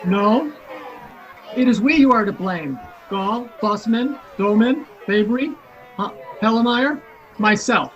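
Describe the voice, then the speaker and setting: A man's voice: sits high, animated, slow pace, a bit bright, some chatter behind, heavily acted. A man playing a remorseful, despairing man in a 1930s-style radio drama, solemn and declamatory, on a muffled recording. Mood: despairing, accusing. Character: remorseful, despairing man blaming himself for mankind's doom. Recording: muffled lo-fi sound with faint music.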